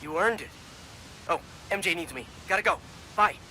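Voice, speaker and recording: A man's voice: high voice, expressive, moderate pace, noisy background. An actor playing a friendly young male superhero mentor in a video game, breezy, joking and encouraging. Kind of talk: video game cutscene acting.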